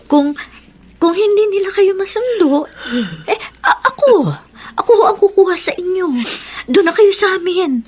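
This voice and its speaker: An actress playing a devoted, caring niece in a radio drama, earnest and emotional. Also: female voice, very high voice, animated, moderate pace. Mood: earnest reassurance. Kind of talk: Tagalog radio drama acting.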